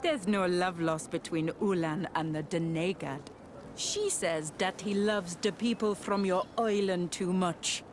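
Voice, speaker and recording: A woman's voice: on the low side, a little slow, a little hiss or hum, lightly acted. An actress playing a wary, frank island villager woman in a video game. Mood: frank, helpful. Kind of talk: video game cutscene acting.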